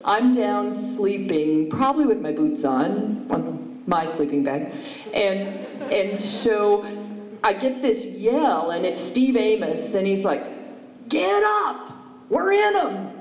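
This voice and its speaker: A woman's voice: mid-range voice, very animated, slow pace. A woman telling a fishing-life story as a panelist on a stage. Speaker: fisherwoman and panelist. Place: auditorium stage, recorded from audience distance. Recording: echoey hall from far off.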